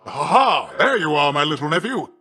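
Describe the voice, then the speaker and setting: Boastful old sea god uncle, video game style, theatrical and grand, voice a bit on the high side for a man. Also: sing-song, normal speed, pushed and bright, husky, full character voice. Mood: hearty, jovial. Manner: pompous, theatrical, grandly old-fashioned wording. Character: boisterous, boastful sea god, an older uncle, pompous yet affectionate. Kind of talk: video game character voice acting.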